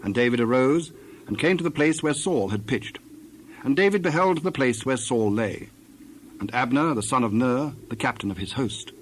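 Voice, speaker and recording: A man of medium pitch narrates scripture over audible background noise and birds. His reading is formal and measured, as scripted narration for an audio drama. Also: expressive.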